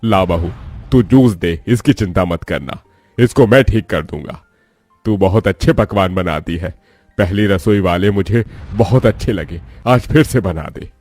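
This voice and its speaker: A man's voice: low-pitched, a little slow, a little mellow, dry recording, faint music behind. A man voicing an approving, protective elderly father-in-law in a family story, plain-spoken and reassuring. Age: older.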